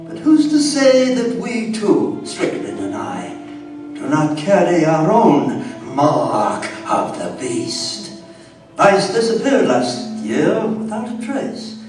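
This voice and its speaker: A man's voice: high-pitched, wildly swooping pitch, exaggerated voice. A man playing a haunted colonial Englishman, narrating a horror tale in grave, theatrical live radio style. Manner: grave, theatrical, deliberate storytelling. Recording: very echoey stage, distant mic, music behind.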